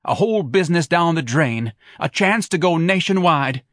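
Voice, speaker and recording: A man's voice: mid-range voice, lively intonation, normal speed, a bit bright, no echo, studio quality, pitched above the performer's own voice. A man doing a sarcastic nagging wife. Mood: needling. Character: needling wealthy middle-aged wife, nagging.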